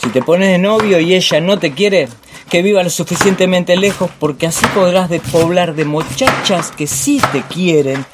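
An actor playing a pompous, bullying master chef and dreamy film snob in a radio play, theatrical. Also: male voice, high voice, moderate pace, very noisy, close to an everyday voice. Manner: pompous, digressive, theatrical monologuing.

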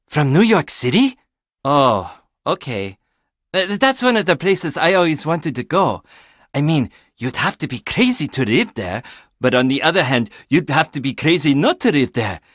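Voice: medium pitch, big pitch swings, normal speed, dry recording, studio quality. An actor playing a quirky, chatty young handyman who loves puzzles in a video game, enthusiastic with sardonic, casual asides. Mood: casual, curious. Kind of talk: video game character dialogue.

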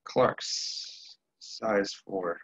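A man acts out a weary, regretful father in a dramatic monologue. He speaks very slowly and broodingly in a low, husky voice. The home recording is dry and a little dull. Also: fairly bright tone, no room sound, exaggerated voice. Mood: weary, resigned. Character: weary, regretful married father reflecting on his life. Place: small indoor space with cloth backdrop.

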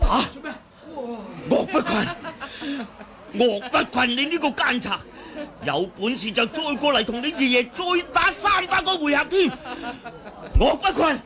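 An actor playing a proud, blinded sword master who shouts defiant challenges, in a 1980 radio drama. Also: male voice, extremely high pitch, exaggerated voice. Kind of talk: 1980s radio drama acting. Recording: old radio play with a clamoring crowd.

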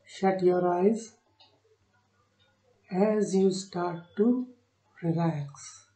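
A woman guiding a meditation in short, soothing phrases with long pauses between them. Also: on the low side, dark tone. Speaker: meditation guide. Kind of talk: guided meditation narration. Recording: roomy echo on the voice.

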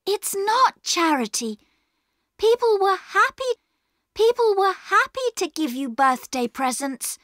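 Storybook role: kind, earnest little girl, eager and very high , acted by a woman, lively pitch. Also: slow pace, no echo, studio quality, full character voice. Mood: pleading. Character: earnest, kind-hearted young girl pleading. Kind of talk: storybook character reading.

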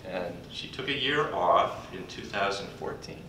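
An older man speaking at a podium in a large conference hall, introducing a colleague in a folksy, halting way with pauses. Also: expressive. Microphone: podium mic, recorded from afar by a computer. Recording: distant echoey hall recording with background rumble.

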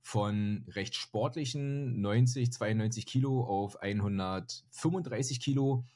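A man's voice: medium pitch, measured pace, mellow. A man in his forties from northern Germany, a podcast guest chatting casually and self-deprecatingly with lots of fillers, recorded over a video call with headphones on. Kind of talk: casual interview talk. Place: home room, indoors. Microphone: headset on video call.

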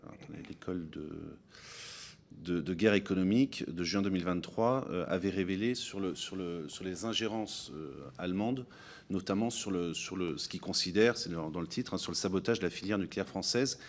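A man in his thirties, acting as rapporteur and questioning witnesses in a parliamentary hearing, speaking haltingly with many restarts into a desk microphone in a large committee room. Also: low-pitched. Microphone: desk gooseneck microphone.

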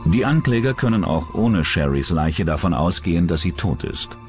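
A man's voice: deep voice, lively intonation, moderate pace, darkish tone, soft background music. A man reading scripted true-crime documentary narration as the narrator.

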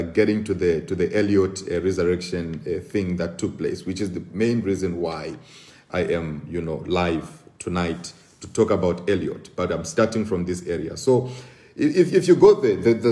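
Low mellow man, halting live talk. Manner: earnest, many fillers and repeated restarts. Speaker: host. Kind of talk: casual live commentary. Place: indoor room at a table.

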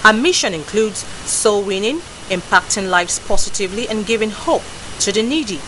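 A woman with a Nigerian accent reading a formal television news report in a measured way. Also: medium pitch, expressive. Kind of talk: scripted narration. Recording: voice-over with clear hiss.

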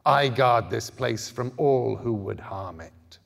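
A man voicing an ancient, fierce dead guardian of a tomb in a slow guttural growl, as in a storybook reading. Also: medium pitch, creaky. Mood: fierce, menacing. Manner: slow, commanding. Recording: echoing hall through a PA.

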